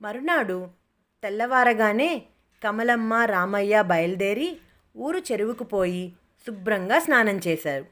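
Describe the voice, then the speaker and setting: A woman's voice: mid-range voice, lively intonation, measured pace, fairly bright tone. A woman storyteller reading a scripted moral tale as narrator.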